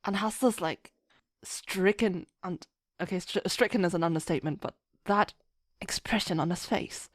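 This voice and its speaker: A woman chatting casually as a podcast guest, telling stories in an animated way and acting out quotes. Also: lowish voice, moderate pace, no room sound, studio quality. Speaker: podcast guest, fan musician and writer. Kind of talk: casual chat.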